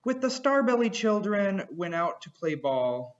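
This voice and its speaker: Male storyteller, high voice, rhythmic rhyming read-aloud, lo-fi home recording. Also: sing-song, unhurried. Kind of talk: reading a children's picture book aloud.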